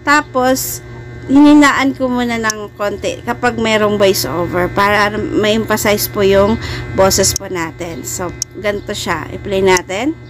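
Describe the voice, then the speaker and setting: A woman's voice: mid-range voice, steady tone, measured pace, faint music behind. A Filipino woman hosting a casual, friendly and chatty step-by-step phone editing tutorial for beginners, speaking politely to her viewers. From Philippines. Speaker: host of a video editing tutorial channel.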